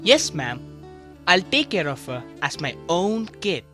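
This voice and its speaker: A woman's voice: on the low side, very animated, unhurried, fairly bright tone, faint music behind. Raman, a witty man, voiced by a woman. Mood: matter-of-fact. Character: clever grown man Raman, witty court advisor. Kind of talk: animated children's story acting.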